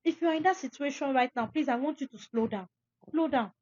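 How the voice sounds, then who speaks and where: A woman's voice: high voice, fast talker, darkish tone, dry recording, dull audio. A young woman podcast host, giving emphatic, preachy advice to listeners and repeating phrases for stress. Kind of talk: advice talk, addressing listeners.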